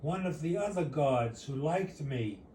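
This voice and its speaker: A man reading his own short story aloud as its author, in a measured, deliberate storytelling manner. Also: fairly high pitch, expressive, dark tone. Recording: roomy, distant mic, faint noise.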